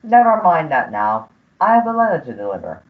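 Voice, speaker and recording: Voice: medium pitch, very animated, brisk, lightly acted. A performer playing a cheeky, shifty servant disguised as a humble letter boy, with servile, ungrammatical speech in a melodramatic radio play. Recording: muffled, phone-like school radio play recording.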